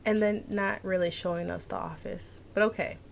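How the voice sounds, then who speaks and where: A woman's voice: mid-range voice, steady tone, moderate pace, creaky, a little hiss or hum. A young woman chatting casually and reading a visual novel aloud as a streamer. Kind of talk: casual let's-play chat and dramatic read-aloud narration.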